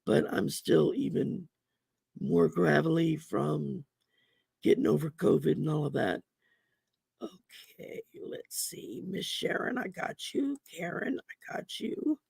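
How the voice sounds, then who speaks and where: A woman with a gravelly voice from damaged vocal cords. She hosts a casual livestream, chatting and reading oracle cards in a folksy way with many ums. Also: sits low, expressive, slow pace, a little mellow, no room sound. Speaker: host and oracle card reader. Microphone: computer mic on a livestream.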